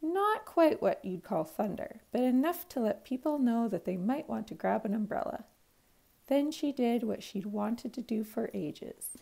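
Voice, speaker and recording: Woman, slightly high voice, a bit of creak, expressive children's read-aloud. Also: a little slow. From Canada. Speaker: primary school teacher reading aloud.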